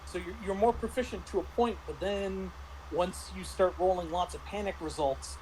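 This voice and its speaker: A man's voice: high voice, normal speed, a little mellow, a little hiss or hum, poor audio. A middle-aged man chatting casually as a game master, stammering and repeating words as he explains things. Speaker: game master reviewing a tabletop RPG. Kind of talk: casual chat.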